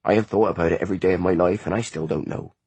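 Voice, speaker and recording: A man's voice: sits low, steady tone, racing, a little mellow, slightly rough, dry recording. A man voicing a weary, grieving peasant mother, sorrowful and pleading, in an audiobook.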